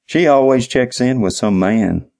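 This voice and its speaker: An eager, slow-witted hotel night manager in his sixties, acted by a male narrator, pitched up for a crime audiobook. He talks in simple, literal, ungrammatical folksy phrases. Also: vocal fry, darkish tone. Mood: dim, helpful.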